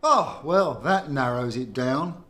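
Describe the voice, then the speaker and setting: A man's voice: mid-range voice, animated, slow pace, fairly bright tone. A man acting in a radio drama as a mild government minister, hesitant and wry. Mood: wry, musing.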